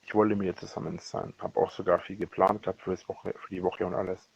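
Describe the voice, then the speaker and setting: Low, husky man in a dull voicemail. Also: level tone, a little mellow. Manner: halting, repeats and corrects himself. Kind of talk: rambling personal voicemail monologue. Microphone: voice message recorded on a phone. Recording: dull lo-fi leaked voicemail recording.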